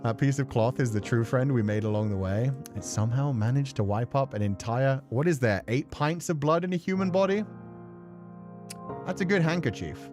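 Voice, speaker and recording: A man's voice: medium pitch, moderate pace. Man chatting casually over music. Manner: rambling, thoughtful, casually sweary. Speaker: streamer and voice actor who plays visual novels. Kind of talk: casual livestream chat, reading and answering viewer comments.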